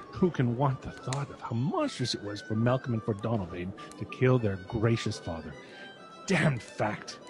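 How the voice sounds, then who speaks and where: A man's voice: mid-range voice, expressive, a little slow, music in the background, close to an everyday voice. A man playing an ironic, sardonic lord. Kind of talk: radio drama acting.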